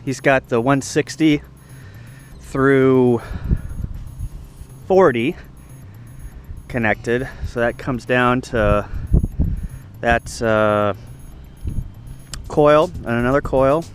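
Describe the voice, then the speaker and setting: A man with a mid-pitched voice talks through his outdoor setup in a casual, unscripted way. He explains as he goes, thinking aloud, picked up on a handheld camera mic with music behind him. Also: drawn-out words, pauses often. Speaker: ham radio hobbyist giving a video tour. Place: outdoor campsite.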